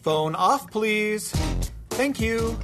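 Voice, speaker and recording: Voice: medium pitch, lively intonation, very slow, loud music behind the voice. An actor playing an upbeat family father in a radio drama, enthusiastic about gadgets and easily impressed.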